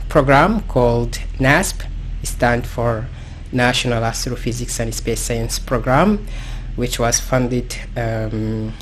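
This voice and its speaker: A man with a Sudanese accent speaks slowly in a medium-pitched voice, searching for words as he goes. He sounds like a guest expert explaining things without a script, hesitant and halting, over some light background noise. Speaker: guest astrophysicist. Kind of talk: unscripted self-introduction, explanatory talk.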